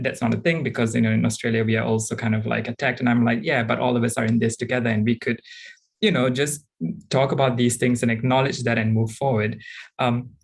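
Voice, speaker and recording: A man, frankly and thoughtfully sharing personal experiences as a panelist, often pausing with ums. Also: mid-range voice, creaky, slightly rough. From Singapore. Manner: reflective and frank, with frequent ums. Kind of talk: candid personal account on a panel.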